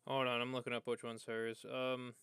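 A man livestreaming a video game, casually thinking aloud in a halting way as he plays. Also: mid-range voice, completely flat delivery, a bit bright, clean tone, no echo. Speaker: game streamer.

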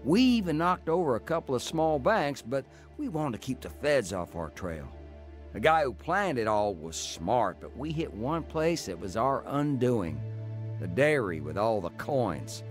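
A man's voice: mid-range voice, very animated, a little slow, faint music behind. An actor playing a tough career criminal telling his life story in a folksy, plain-spoken way for a radio drama. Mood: reflective, rueful. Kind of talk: radio drama acting and first-person narration.

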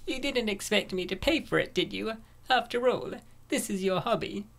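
Casting: actress as poised, wealthy young woman for comic dub, medium-pitched. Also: normal speed, gravelly, natural voice. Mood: relaxed, indulgent. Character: rich, poised, unsuspecting young woman friend.

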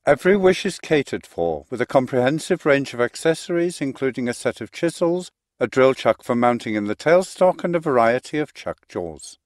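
A man reading a measured, matter-of-fact product description of a lathe. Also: mid-range voice, expressive. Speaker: product narrator.

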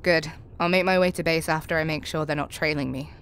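A woman's voice: low-pitched, steady tone, brisk, a bit bright, clean tone. A woman acting in an audio roleplay as a tough, confident spy with a tender private side, wry and self-assured. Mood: brisk, cocky.

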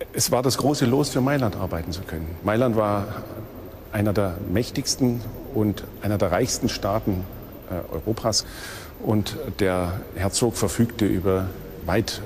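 A man giving an unscripted expert explanation in an interview, speaking with some hesitation. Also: fairly low pitch. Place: room inside an old castle. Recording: clear ambient noise on location.

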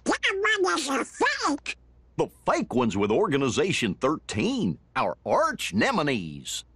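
An actor playing an excitable, quick-tempered male cartoon duck in a video game, with a squawky, garbled rasp. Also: on the high side, dry recording, exaggerated voice. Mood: indignant.

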